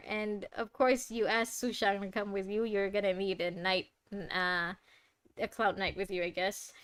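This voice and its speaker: A young woman giving casual, excitable live commentary as a streamer, often exclaiming. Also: fairly high pitch, smooth. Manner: expressive, exclaiming. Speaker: streamer reacting to game trailers. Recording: loud game music behind. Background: game trailer music.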